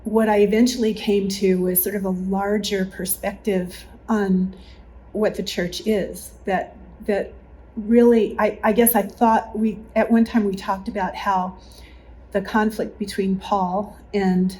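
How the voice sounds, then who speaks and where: A woman reflecting on church life in a group interview, thoughtful and halting, correcting herself as she goes. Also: mid-range voice, fairly even intonation, dark tone, rough recording. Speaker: church member recalling past challenges. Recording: faint background room noise.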